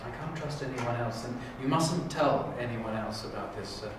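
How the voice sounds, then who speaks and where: A man playing a secretive, fond, forgetful old Time Lord father, speaking haltingly in an improvised audio drama audition. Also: mid-range voice, natural voice. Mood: wistful, secretive. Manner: halting, hesitant delivery. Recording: very echoey room, distant mic, clear noise.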